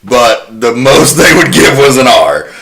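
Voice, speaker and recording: A man's voice: medium pitch, sing-song, unhurried, vocal fry, noisy background. A man hosting a podcast, giving prepared commentary on film history. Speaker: podcast host.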